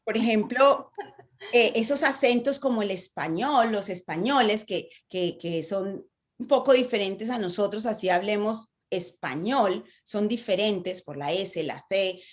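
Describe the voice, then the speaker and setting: A woman's voice: medium pitch, a little slow. A woman with a Latin American Spanish accent giving an engaging talk on stage fright, often asking rhetorical questions and tagging "¿no?". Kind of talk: conversational talk with a guest.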